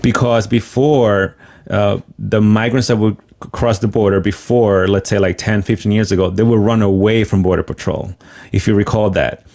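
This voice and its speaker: A man's voice: lowish voice, fairly even intonation, normal speed, a little mellow. A Venezuelan-born man hosting a heated livestream debate, speaking firmly and insistently and cutting in to defend his views. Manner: firm, insistent, talks over the other speaker. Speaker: host.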